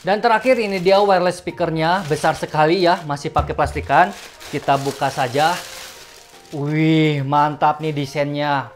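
A man's voice: on the high side, a little hiss or hum. A man in his thirties giving an enthusiastic, casual product review as a gadget reviewer. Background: background music.

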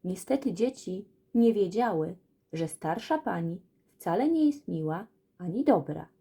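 A woman narrating a children's fairy tale as a storyteller, in an expressive scripted reading. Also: fairly low pitch, measured pace, husky.

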